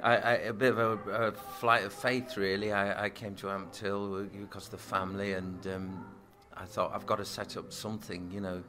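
A man, a musician and studio owner, answering interview questions in a halting way, restarting his sentences often. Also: lowish voice, over music. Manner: halting, stumbling restarts, flat delivery.

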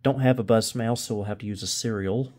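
Mellow-voiced man thinking aloud. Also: fairly low pitch, a little fast. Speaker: host of a vintage computer video channel. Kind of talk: casual hands-on commentary. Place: indoors in a home room.

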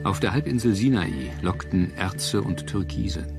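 A man narrating a scripted history documentary in a measured, dramatic storytelling manner. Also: low-pitched, big pitch swings, gravelly. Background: prominent music.

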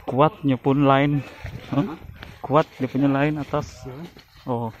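A man's voice: mid-range voice, steady tone, slow, highs cut off. A man making laughing remarks to friends while hiking outdoors on a forest trail. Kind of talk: casual chat while hiking.

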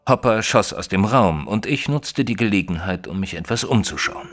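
A man playing a proper, opinionated Victorian gentleman who tells his story in a German audio drama, measured and expressive. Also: lowish voice, soft background music. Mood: storytelling. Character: proper Victorian gentleman narrator, opinionated, easily shocked companion. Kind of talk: dramatised first-person audiobook narration in German.

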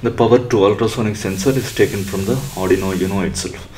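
A man presenting an electronics tutorial step by step in a halting, instructional way. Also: on the low side, darkish tone, a little hiss or hum.

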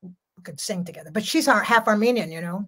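A woman's voice: medium pitch, a little fast, fairly bright tone, slightly rough. Older woman, strong voice, rambling and laughing, home video call. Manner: chatty, laughs often, rambling. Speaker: jazz singer, guest. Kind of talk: casual video-call interview. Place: home room.

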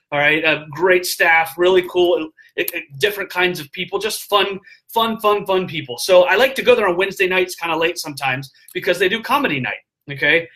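A middle-aged man talking casually into a webcam from a hotel room, animated and full of fillers as he gives motivational business tips. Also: sits high. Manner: animated, gestures a lot, filler-heavy with frequent "all right?". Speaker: vlogger giving business tips. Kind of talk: casual motivational live stream. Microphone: webcam at desk distance.

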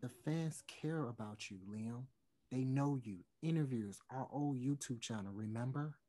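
A man's voice: mid-range voice, measured pace, dark tone, close to an everyday voice. A man playing an apologetic, pleading famous singer in an online play reading, earnest and hesitant, on a video call from home. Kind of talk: online one-act play table read.

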